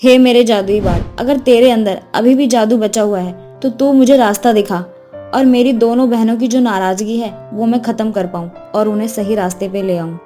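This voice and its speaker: Woman doing hardworking village girl from a storybook, earnest n soft-toned, music behind. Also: a little high, normal speed, natural voice. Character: kind, hardworking young eldest sister, a village girl. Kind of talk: voice acting in a Hindi fairy tale.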